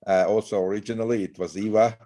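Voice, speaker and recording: A middle-aged man from Turkey talks as a guest, his voice sitting fairly low. He rambles loosely, with lots of "you know" and "like" between thoughts. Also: fairly even intonation, fast talker, mellow. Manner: loose, rambling with many fillers. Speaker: guest, startup CEO. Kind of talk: casual interview talk.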